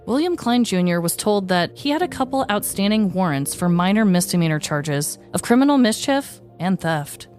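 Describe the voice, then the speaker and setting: Woman, podcast host, storytelling and chat, middle pitch, very varied intonation, faint background music. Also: very animated, normal speed, few pauses, darkish tone. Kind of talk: scripted true-story narration and casual chat.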